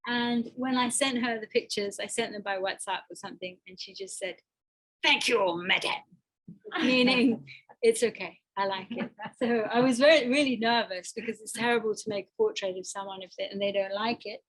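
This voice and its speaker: A woman's voice: on the high side, normal speed, a bit bright, rough recording. A woman artist talking through a slideshow of her work, hesitant and thoughtful, with many restarts. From Botswana. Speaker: artist giving a talk. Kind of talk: presenting a slideshow talk.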